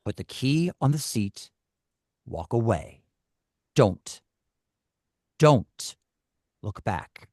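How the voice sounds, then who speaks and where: A man's voice: mid-range voice, very animated, extremely slow, long pauses, darkish tone, dry recording, studio quality, heavily acted. A man playing a cold, controlling kidnapper in a screenplay table read, terse and commanding. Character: cold, controlling male kidnapper calling his victim "Mother".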